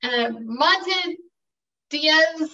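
A woman's voice: high voice, expressive, very slow, bright, forceful tone, heavily acted. A woman playing a deferential, polite assistant to a director, on a webcam in a room with a wooden door. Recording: distant, dull webcam sound.

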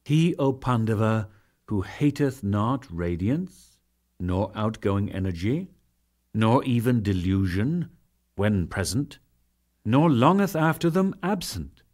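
A man voicing a serene, authoritative god teaching a disciple in solemn, measured oratory, in a dramatic scripture reading. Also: lowish voice, lively intonation, a little mellow.

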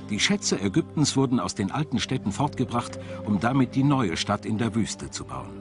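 Male documentary narrator, lowish pitch, animated intonation, clear articulation, scripted explanatory delivery. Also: moderate pace. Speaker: documentary narrator and voice-over translator. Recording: music behind the voice. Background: background music.

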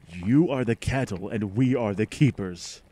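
A slow, menacing alien monster in an old horror radio play with a hissy recording. The creature speaks low, cold and commanding, like a hungry keeper looking down on cattle. The delivery is hypnotic and full of contempt. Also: male voice, dark tone. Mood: contemptuous, gloating. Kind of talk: old-time horror radio drama acting.

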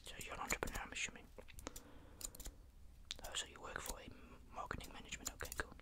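A breathy, unvoiced whisper in an ASMR barbershop roleplay, with a person playing a barber making casual, rambling small talk with a customer. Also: fast.

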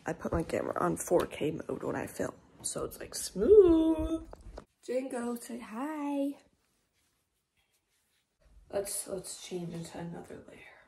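A teenage girl filming a casual vlog on her phone, talking to viewers in a hushed morning voice and later out in the snow. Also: mid-range voice, gravelly, a little hiss or hum. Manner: whispery early-morning talk, bubbly and excited outdoors. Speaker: vlogger. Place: at home, then outdoors in snow. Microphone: handheld phone.

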